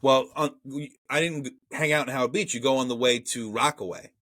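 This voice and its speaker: A man's voice: mid-range voice, fairly even intonation, a little fast, fairly bright tone, no room sound. A man with a Brooklyn accent co-hosting a podcast, chatting casually and profanely about an old movie and reading trivia aloud. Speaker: podcast co-host. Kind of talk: casual movie review chat.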